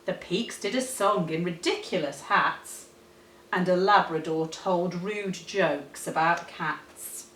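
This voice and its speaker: A woman reading a rhyming children's story aloud in an expressive, dramatic, deliberate storytelling manner. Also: on the low side, slight background noise. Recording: roomy, echoey, distant microphone.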